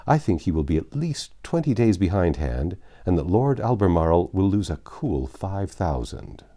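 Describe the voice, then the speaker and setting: A man voicing a nervous, insistent gentleman engineer in a storybook, speaking formally and emphatically. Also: low-pitched, sing-song, normal speed, exaggerated voice.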